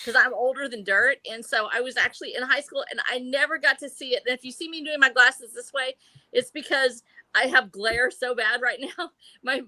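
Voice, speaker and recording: A woman's voice: high-pitched, sharp tone, no room sound, rough recording. A woman in her sixties, a guest on a live video call stream, chatting in a bubbly way and laughing often. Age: 60s. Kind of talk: casual chat on a live stream.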